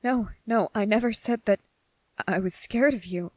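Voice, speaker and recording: A woman voicing a gentle, earnest young male soldier in a soft-spoken, halting ASMR roleplay. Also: mid-range voice, no echo, lightly acted. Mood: earnest, reassuring. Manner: hesitant, halting, repeating phrases, earnest.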